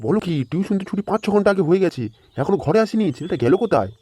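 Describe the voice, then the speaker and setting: A man's voice: sits high, expressive, very fast. A man voicing a fretful, foul-mouthed grandfather in a comedy cartoon, scolding and blunt. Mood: annoyed, worried. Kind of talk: amateur comedy cartoon voice acting. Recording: noisy home-made cartoon dub.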